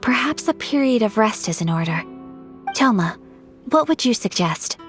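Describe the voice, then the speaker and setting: A woman playing a refined young noble lady in a video game, gentle, composed and earnest, speaking with formal politeness. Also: fairly high pitch, very animated, moderate pace, faint music behind. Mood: composed, serious. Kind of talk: video game character acting.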